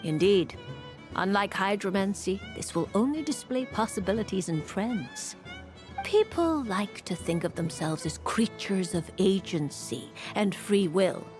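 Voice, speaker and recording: An actress playing a refined woman mage and fortune-teller in a video game, speaking formally and courteously with measured eloquence. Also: mid-range voice, big pitch swings. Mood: courteous, theatrical. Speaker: fortune-telling mage character. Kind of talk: video game character acting. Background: music.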